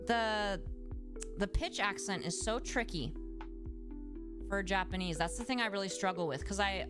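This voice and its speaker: A young adult woman, a speech-language pathologist, chatting casually on a livestream and answering viewers, with lots of "like" fillers and restarts. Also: mid-range voice. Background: background music.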